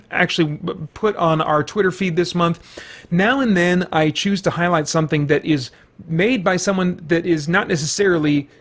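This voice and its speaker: A man's voice: on the high side, a bit bright. A man hosting a podcast, running through community news in a casual, unscripted way, thinking aloud as he goes.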